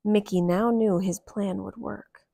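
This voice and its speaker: A woman reading a children's picture book aloud in a deliberate, expressive storyteller's manner. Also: medium pitch, creaky, no room sound, studio quality.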